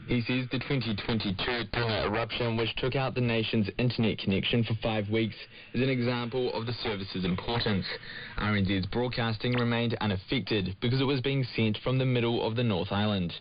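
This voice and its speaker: A man's voice: medium pitch, steady tone, a little fast. A man reading a scripted radio news report as a reporter. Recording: dull lo-fi shortwave radio, clear static.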